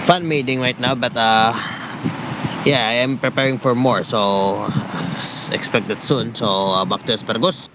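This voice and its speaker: Man, casual guest chat, hesitant, medium pitch, clear background noise. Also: moderate pace.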